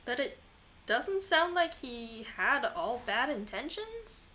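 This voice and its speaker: A young adult woman chatting casually as she plays a game, rambling through animated anecdotes. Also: sits high, takes it slowly, a little hiss or hum. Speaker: game streamer. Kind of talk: casual chat while playing a game.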